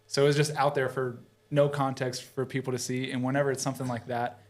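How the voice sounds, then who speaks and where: A man's voice: medium pitch, little pitch movement, quick pace. A man in his early thirties chats as a podcast guest, his voice sitting in a middle range. He tells stories casually, with an amused, easy manner. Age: 30s. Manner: amused storytelling. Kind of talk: casual chat.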